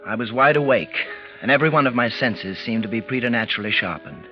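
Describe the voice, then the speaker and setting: A man's voice: medium pitch, expressive, lightly acted. Man acting as brooding, uneasy radio-thriller narrator. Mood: tense, wary. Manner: deliberate, dramatic, brooding. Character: tense, uneasy man narrating his own frightening night. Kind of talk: 1940s radio drama, first-person narration. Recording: dull vintage radio, music behind.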